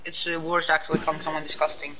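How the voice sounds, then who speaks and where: Casting: taunting high-voiced man from Sweden, game voice chat, crowd noise behind. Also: completely flat delivery, fast talker, poor audio. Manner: insulting, taunting. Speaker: online game player. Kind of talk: heated voice chat argument.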